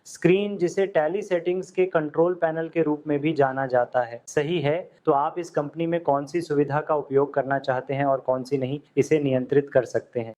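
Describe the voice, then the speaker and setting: A man teaching accounting software step by step, in steady instructional tones over a screen recording. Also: on the high side, normal speed, few pauses. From India. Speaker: software tutor. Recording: dull lo-fi audio.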